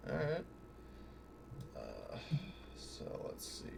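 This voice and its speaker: A man's voice: mid-range voice, wildly swooping pitch, drawn-out words, vocal fry, slight background noise. A man playing a tabletop game, chatting casually as a player.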